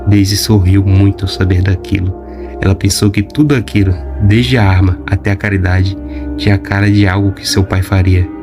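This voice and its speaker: A man giving scripted audiobook narration in an even, measured way. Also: low voice.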